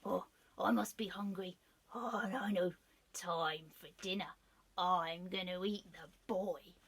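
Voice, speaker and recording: A woman voicing a sly, scheming male fox in a children's storybook reading, speaking low and hushed. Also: steady tone, slow, slightly rough, exaggerated voice. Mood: greedy.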